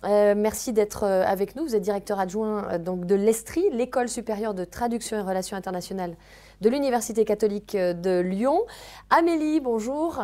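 A woman's voice: mid-range voice, darkish tone. A woman in her thirties hosting a studio interview, asking questions with frequent hesitations. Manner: brisk questioning with filler hesitations. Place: TV studio set. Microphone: handheld mic.

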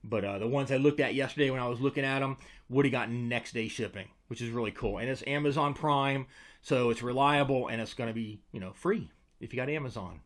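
A man's voice: mid-range voice, a little fast. An American man in his forties hosting a casual product review to camera in an informal, conversational way. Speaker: host, fishing lure reviewer. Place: small indoor room with tackle-covered walls. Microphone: desk microphone beside him.

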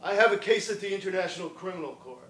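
A man's voice: high voice, animated, racing, fairly bright tone, a little hiss or hum. A man playing a curt sarcastic lawyer father. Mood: brusque. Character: dismissive sarcastic lawyer father, middle-aged. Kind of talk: stage play acting. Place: theater stage. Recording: roomy stage sound from a distance.

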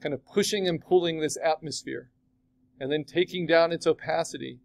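A man teaching a digital art class, chatting casually and asking his students questions as he demos the software. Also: a little high, moderate pace, creaky. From California. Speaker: art instructor.

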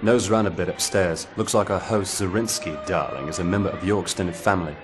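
An actor playing a cool, wry secret agent in a video game, dry, businesslike and understated. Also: male voice, low-pitched, fairly quick, close to an everyday voice. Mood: wry, cautioning. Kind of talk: video game cutscene acting. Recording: game audio with clear music behind.

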